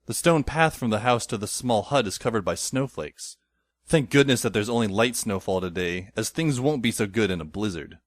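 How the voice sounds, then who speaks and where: A man's voice: medium pitch, no room sound, natural voice. A man playing a wry teenage schoolboy who narrates an audio drama in a dry, descriptive way.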